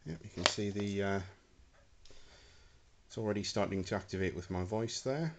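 A man's voice: lowish voice, moderate pace. A man giving a casual, hesitant demonstration of homemade electronics, filmed on a handheld camera indoors. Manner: hesitant, many ums, talking while handling gear. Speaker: hobbyist prop maker. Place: indoor room with a wooden floor. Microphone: handheld camera mic.

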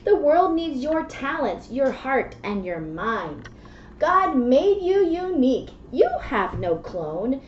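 A woman's voice: a little high, darkish tone. A woman in her thirties reading and explaining to a small child in simple language, often checking in with questions. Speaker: mother and children's read-aloud presenter. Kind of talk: reading a picture book aloud and chatting to a small child. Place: small classroom, indoors. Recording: muffled, echoey webcam audio with noise.

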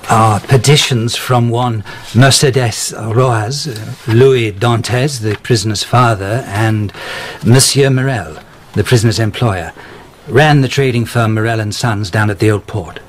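A man's voice: fairly low pitch, a little slow, a little hiss or hum, close to an everyday voice. An actor playing a nervous, obliging male records clerk who stammers and hesitates in a radio drama.

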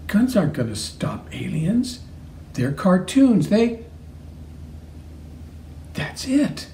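A man's voice: high voice, huge pitch swings, slow, mellow, roomy sound, far from the mic, a little hiss or hum, exaggerated voice. Man playing an earnest, awkward young boy. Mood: excited. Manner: hesitant, earnest.

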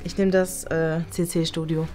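A woman's voice: sits low, level tone, takes it slowly, music in the background. A young woman chatting casually and playfully as a contestant in a challenge video. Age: young adult. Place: indoor rooms, music behind.

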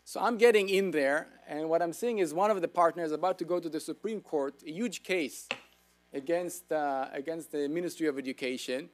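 A man's voice: fairly high pitch, moderate pace. A middle-aged man with an Israeli accent giving a keynote business lecture, with informal, humorous storytelling. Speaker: keynote speaker.

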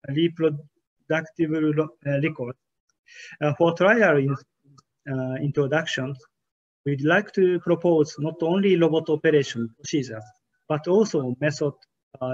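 Guy giving a keynote on a webcam call, slightly high voice, lots of uh pauses, audio a bit muffled. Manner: hesitant, frequent "uh" fillers, careful formal phrasing. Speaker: keynote speaker. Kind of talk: conference keynote presentation over slides. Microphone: webcam on a video call.

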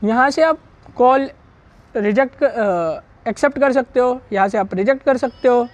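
A woman's voice: fairly high pitch, lively intonation, moderate pace. A woman casually walking through a hands-on product installation, chatting as she works step by step. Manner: chatty, explains hands-on while working. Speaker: gear reviewer.

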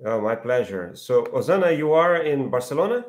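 Thirties male host, mid pitch, friendly questions over a webcam call. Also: sing-song, normal speed. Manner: friendly, leading questions with fillers. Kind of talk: video call interview. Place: home room, indoors. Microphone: laptop webcam on video call.

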